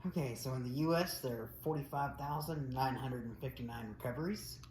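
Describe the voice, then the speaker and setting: A man's voice: mid-range voice, brisk, darkish tone. Matter-of-fact male co-host, plain mid voice, blunt delivery; echoey room with faint hiss. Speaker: podcast co-host. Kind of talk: casual podcast chat, reading news figures. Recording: roomy echo with faint noise.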